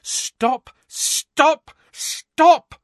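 A man voicing a stern young woman captain who barks orders in a raised, high voice. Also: big pitch swings, moderate pace, fairly bright tone, breathy edge, dry recording, studio quality, exaggerated voice. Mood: exasperated. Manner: barking, commanding.

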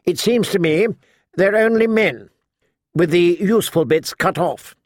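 A man voicing a sharp-tongued old noblewoman in an audiobook, imperious, blunt and talkative. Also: sits high, expressive, a little slow, no room sound, studio quality, voice pushed up. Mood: tart, bossy. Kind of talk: audiobook character acting.

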